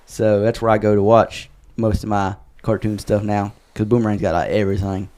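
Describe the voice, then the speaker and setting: A man's voice: lowish voice, flat delivery, fairly quick, dark tone. Guy hosting a chill podcast, voice getting worn out, rambles and corrects himself a lot. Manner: rambling, self-correcting, wraps up the show. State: losing his voice from talking a lot. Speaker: podcast host. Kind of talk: casual chat.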